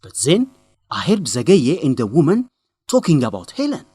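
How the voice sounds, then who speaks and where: An actor playing an earnest male journalist in a radio drama, speaking expressively in simple, deliberate sentences. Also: on the high side, wildly swooping pitch, dark tone, husky, no echo, heavily acted. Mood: serious, recounting. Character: earnest young male journalist breaking bad news to a friend. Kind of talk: English-learning radio drama acting.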